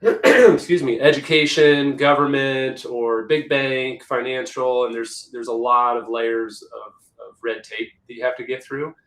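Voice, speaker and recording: A man who works as an executive assistant, presenting a webinar in a chatty, conversational way, slightly hoarse from losing his voice. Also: mid-range voice, level tone, measured pace, few pauses, fairly bright tone, reverberant. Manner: chatty, conversational, many restarts.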